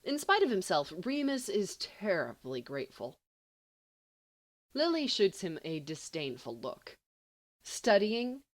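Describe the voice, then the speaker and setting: A woman reading a story aloud as an amateur audiobook narrator, in an expressive, dramatic and wry manner. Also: mid-range voice, takes it slowly. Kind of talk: expressive scripted fiction narration.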